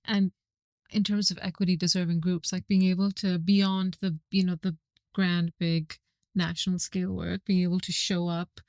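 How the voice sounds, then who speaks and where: A woman's voice: lowish voice, flat delivery, moderate pace, darkish tone, dry recording, studio quality. A woman guest from Dubai in casual conversation about her agency work, thinking aloud with many restarts and fillers. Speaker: agency team member, guest.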